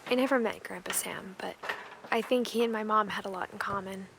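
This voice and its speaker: A woman's voice: mid-range voice, a little fast, gravelly, noisy background, natural voice. An actress playing a wry, musing young American woman who narrates a video game in the first person. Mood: reflective.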